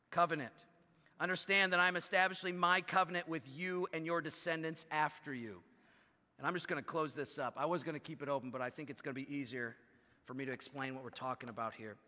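A pastor in his thirties preaches from a big church stage, pushing his voice up and pulling it down hard to stress a point. His tone is bright and a little high for a man, and the hall answers with a long tail of reverb. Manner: animated preaching, voice rising and dropping for emphasis. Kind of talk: sermon.

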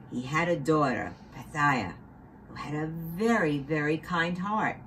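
A woman tells a children's story in a low, highly animated voice. Her delivery is dramatic and expressive, and there is audible noise behind her. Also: rough recording. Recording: noticeable background noise.

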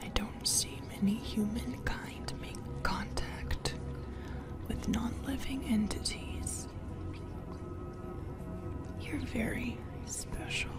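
A sinister female sleep paralysis demon played by a woman whispers in a breathy, intimate voice. She speaks very slowly, teasing and possessive, soothing while she menaces. Music plays clearly behind this ASMR horror roleplay. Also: on the high side, steady tone. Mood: fascinated, possessive. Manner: slow, intimate, menacingly tender.

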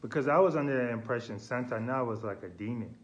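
A man around forty speaking in a casual, probing way, halting and deliberate, recorded on a phone indoors. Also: medium pitch, lo-fi sound. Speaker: vlogger questioning a character on the phone. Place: small indoor room with sloped wooden ceiling. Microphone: phone at arm's length.

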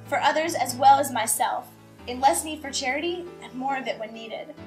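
Young female reciter, high register, formal delivery, light music bed. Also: moderate pace. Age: young adult. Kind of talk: recited creed, formal reading. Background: soft music.

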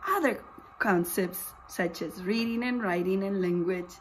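A woman in her forties, a preschool teacher reading a picture book aloud, exclaiming and putting questions to young listeners in an animated, expressive way. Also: mid-range voice, a little slow, slight background noise, poor audio. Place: small indoor room with posters.

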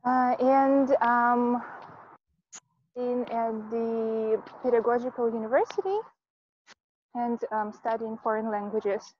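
A woman in her twenties from Russia, a volunteer teacher, talking slowly and hesitantly with many fillers on a video call from outdoors. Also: fairly high pitch, fairly even intonation, muffled recording, slight background noise. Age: 20s. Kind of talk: casual video call chat. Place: outdoors among trees. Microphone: earphone mic on a video call.

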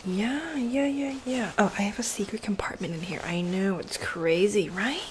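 A young adult woman doing a casual ASMR role play, speaking softly and often slipping into a whisper with mouth sounds, close to a desktop mic. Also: medium pitch, normal speed. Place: bedroom, indoors. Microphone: close desktop condenser mic. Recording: close mic with noise, clicks.

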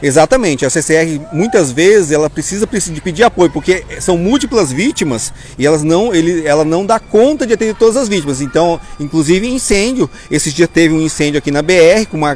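A man in his forties with a Brazilian accent, a firefighter officer answering an interviewer haltingly, repeating words as he goes. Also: a little high, expressive, a little mellow, soft background music. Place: outdoors in front of a fire station. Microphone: small mic held at chest level by interviewer. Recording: outdoor street ambience noise.

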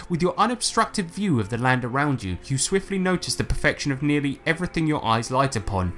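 A man's voice: medium pitch, fairly bright tone. Man narrating a tabletop game. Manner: measured, descriptive storytelling. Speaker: game master.

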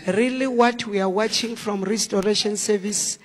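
Male pastor with a high voice leading a slow, commanding deliverance prayer in a reverberant church hall. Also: steady tone.

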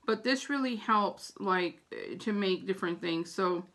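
A woman's voice: medium pitch, measured pace, a bit bright. A middle-aged American woman giving casual, chatty advice to camera in an informal way, repeating her points for emphasis. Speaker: vlogger giving household tips. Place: small room at home, indoors. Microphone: phone or tablet camera at about arm's length.

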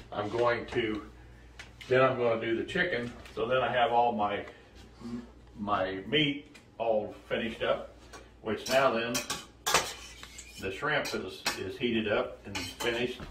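A man in his sixties giving a casual, halting cooking demonstration as host, recorded from a distance in a home kitchen. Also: mid-range voice. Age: 60s. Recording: echoey kitchen, distant mic, faint noise.

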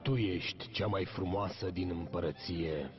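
A man voicing a solemn magic mirror spirit in a cartoon, with slow, declamatory delivery. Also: deep voice, close to an everyday voice. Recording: muffled old cartoon soundtrack with music.

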